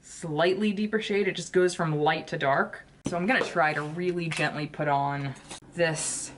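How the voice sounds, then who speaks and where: A woman's voice: low-pitched, steady tone, normal speed, noisy background. A woman beauty vlogger chatting casually while she does her makeup, swearing often and gushing about products.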